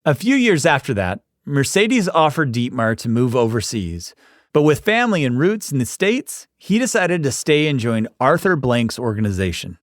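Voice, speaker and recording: Lively male documentary narrator. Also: mid-range voice, moderate pace, dry recording, clean studio sound. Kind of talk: scripted narration.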